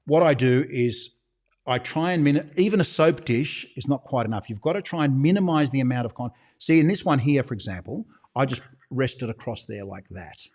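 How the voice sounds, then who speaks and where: An Australian man hosting a loose livestream Q&A for his shop. His voice sits in an ordinary middle pitch, and he wanders from point to point with conspiratorial little jokes. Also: a little mellow. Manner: chatty, rambling, with conspiratorial joking asides. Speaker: shop owner hosting a live Q&A. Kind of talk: casual livestream Q&A.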